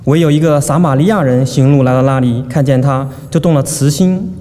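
A man reading scripture aloud at a service, steady and deliberate. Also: medium pitch. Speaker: scripture reader. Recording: long hall reverb, faint room noise.

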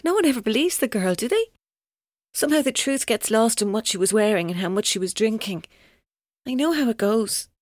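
An actress playing a tormented, evasive young Irish woman who speaks haltingly and guardedly in an audio drama. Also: a little high, animated, dry recording, studio quality, natural voice. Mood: distressed, pleading. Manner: hesitant, halting, guarded, breaking off mid-sentence. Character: tormented, evasive young woman giving police her story. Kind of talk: naturalistic audio drama acting.

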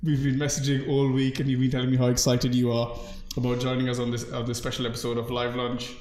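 A man's voice: mid-range voice, completely flat delivery, fast talker, darkish tone. A man hosting a live chat show in a joking, chatty way. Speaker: host. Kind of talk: casual chat. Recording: roomy echo, faint noise.

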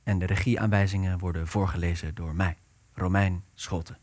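Lowish-voiced young man reading stage directions. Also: steady tone, normal speed, a little mellow. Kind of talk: scripted narration of a radio play.

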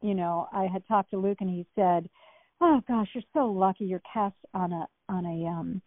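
Chatty actress on a tinny line. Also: female voice, mid-range voice, normal speed, no echo. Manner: chatty, rambling, many fillers and restarts. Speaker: actress guest. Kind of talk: casual interview talk.

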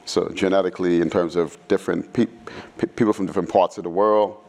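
A middle-aged man from Trinidad who later lived in New York, answering interview questions in an informal, halting, thoughtful way. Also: low voice, fairly even intonation, gravelly, darkish tone. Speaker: guest speaker, physics professor. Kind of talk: conversational interview answers, reminiscing.

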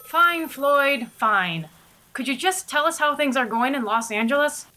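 A woman's voice: sits high, expressive, a little slow, sharp tone, heavily acted. A woman playing an earnest, formal news anchor in a 1930s radio drama spoof. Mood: exasperated.

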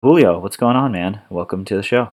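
A man hosting a podcast, asking his guest questions in a friendly, relaxed way. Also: on the low side, brisk. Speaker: podcast host. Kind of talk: podcast interview.

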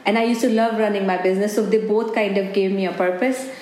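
A woman's voice: medium pitch, fairly even intonation, quick pace, a little mellow, slight background noise. A woman in her forties from India with an Indian accent, a business owner answering interview questions in an earnest, reflective way with many "you know"s. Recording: roomy, with faint background ambience.

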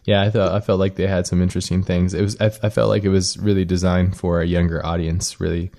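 A man's voice: deep voice, level tone, quick pace, creaky, mellow. A man hosting a casual interview, rambling a little as he searches for words. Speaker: podcast host and interviewer.

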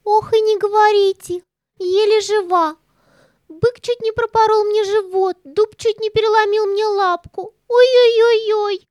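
A woman's voice: very high-pitched, fairly even intonation, unhurried, darkish tone, dry recording, studio quality. A woman voicing a sly, vain, two-faced talking cat with an affected, whiny manner in a children's audio play. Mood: whining, feigned suffering.

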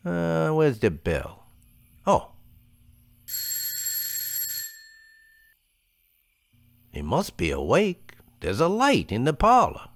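A cold, sardonic killer, speaks very slowly with a hugely swooping pitch. He is menacing under a smooth, polite front, in old radio thriller style. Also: wildly swooping pitch, full character voice. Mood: curt, commanding. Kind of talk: 1940s radio thriller acting.

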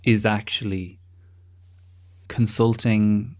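A man's voice: lowish voice, fairly even intonation, slow. A man hosting a podcast interview in a wry, discursive, conversational way, with some casual swearing. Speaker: podcast host.

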